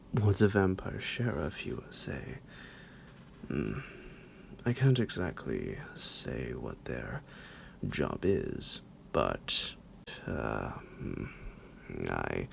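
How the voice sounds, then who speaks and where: Deep, creaky, half-whispering psychotic vampire. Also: male voice, a little hiss or hum, heavily acted. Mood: teasing, condescending. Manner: slow, drawn-out, theatrical, halting, with low chuckles. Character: psychotic male vampire doctor, menacing, smug, teasing captor. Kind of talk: ASMR roleplay monologue.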